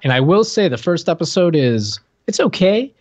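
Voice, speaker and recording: A man's voice: fairly high pitch, very animated, normal speed, a little mellow. Man chatting casually, slightly dull audio. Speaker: podcast co-host. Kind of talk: casual chat.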